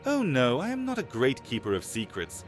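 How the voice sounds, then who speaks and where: A man's voice: medium pitch, huge pitch swings, moderate pace, faint music behind. A man playing an eager older alchemist in an audio drama, theatrical, florid and ingratiating. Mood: sly, cautious. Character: eager older alchemist begging to become a vampire. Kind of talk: audio drama roleplay acting.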